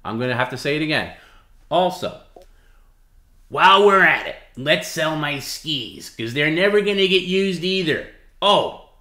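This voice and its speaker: A man's voice: a little high, animated, unhurried, bright, forceful tone, slight background noise. A man hosting a livestream, reading out dramatically and repeating himself in an emphatic, goading way. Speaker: livestream host. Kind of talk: dramatic reading mixed with casual talk. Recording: roomy, echoey sound.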